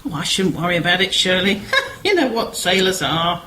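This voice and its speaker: Woman playing gossipy, expressive village sister, lowish voice. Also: normal speed, slight background noise, poor audio. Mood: light, cheerful. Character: Martha, chatty, concerned village woman, sister seeking help. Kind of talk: amateur radio play acting.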